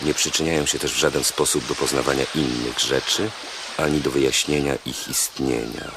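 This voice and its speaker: A learned Roman-era slave in a radio play. His voice is extremely low and creaky, measured and formal, deferential as he recites. Loud watery rumble lies behind him. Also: fairly even intonation. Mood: solemn reading. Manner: measured, solemn, deliberate. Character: learned, deferential male slave reading philosophy aloud.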